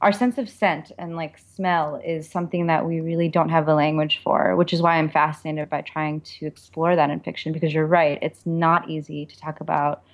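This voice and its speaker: Very low-pitched woman, reflective. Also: flat delivery, normal speed, few pauses. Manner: reflective, informal, explanatory. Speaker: author and perfumer, interview guest. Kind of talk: conversational interview answers.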